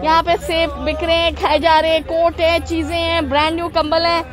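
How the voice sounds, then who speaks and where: A woman's voice: very high-pitched, little pitch movement, fairly quick. A Pakistani woman hosting a casual live market vlog, chatty and playful, switching into English phrases, her voice slightly muffled by a mask. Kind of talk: casual live vlog chat, greeting viewers and haggling. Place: open-air bazaar, outdoors. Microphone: handheld phone. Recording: busy outdoor market crowd behind.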